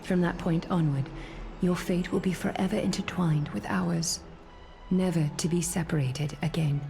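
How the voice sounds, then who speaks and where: A woman playing a solemn, regal leader, speaking in a measured, formal, composed way in scripted fantasy game dialogue. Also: fairly low pitch, fairly even intonation, over music, lightly acted. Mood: grave.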